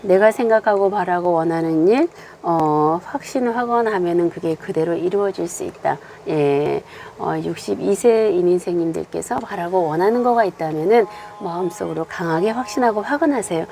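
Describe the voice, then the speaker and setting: A woman with a hoarse voice from a cold, unhurried and full of filler sounds, giving a monthly tarot fortune reading. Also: on the low side, dark tone, slight background noise. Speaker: tarot reader.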